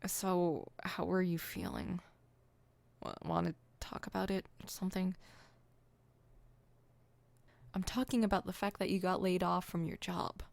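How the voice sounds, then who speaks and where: Woman acting a low, creaky, exasperated supervillain. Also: normal speed, darkish tone, no room sound. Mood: concerned. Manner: indignant, wordy, dry, slightly stammering. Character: exasperated supervillain, proud and secretly caring. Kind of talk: ASMR roleplay acting.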